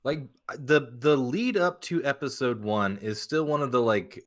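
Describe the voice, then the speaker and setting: A man's voice: mid-range voice, measured pace. A man co-hosting a podcast in casual chat, emphatic and sweary, often acting out imagined quotes. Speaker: podcast host.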